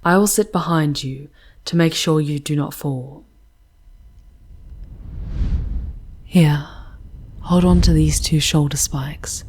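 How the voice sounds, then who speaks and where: A woman playing a tender female demon guide in a breathy, intimate ASMR roleplay, speaking formally and soothingly, often in whispers, with some background noise. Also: deep voice, darkish tone. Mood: steady, protective. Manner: slow, archaic formal diction, intimate and soothing.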